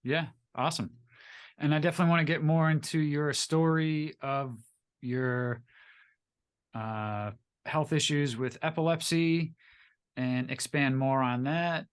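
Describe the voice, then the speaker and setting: A man's voice: on the high side, fairly even intonation, unhurried, clear, resonant tone. Man hosting an interview, short upbeat replies. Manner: brief, upbeat acknowledgements. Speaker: interview host. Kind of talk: casual interview questions.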